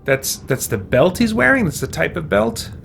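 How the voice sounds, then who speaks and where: Male gaming streamer, creaky fry in the voice, casual thinking-aloud commentary, mid pitch, noticeable background noise with keyboard. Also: very animated, normal speed, a bit bright.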